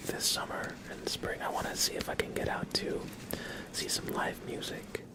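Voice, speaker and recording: A young man hosting a relaxed ASMR livestream, mostly whispering and speaking softly in a reassuring, encouraging way. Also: moderate pace. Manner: soft-spoken, mostly whispering. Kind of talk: relaxed ASMR, chatting with viewers and reading tarot cards.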